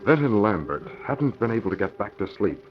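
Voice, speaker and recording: Old timey radio narrator, deep, dramatic and formal, suspense crime show, muffled recording. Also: male voice, sing-song, a little fast, a little hiss or hum. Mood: grave, suspenseful.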